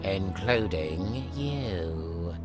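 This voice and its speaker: An actor playing a stern, pious royal lawman in a video game, deliberate and accusing. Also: low voice, animated, exaggerated voice. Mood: menacing. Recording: loud music over the voice.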